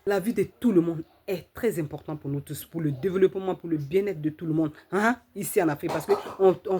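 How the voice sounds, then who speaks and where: A woman hosting her channel, giving an emphatic, repetitive opinion monologue full of rhetorical questions and drawn-out "mhm"s. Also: lowish voice, mellow, husky. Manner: fills pauses with drawn-out "mhm". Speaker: channel host.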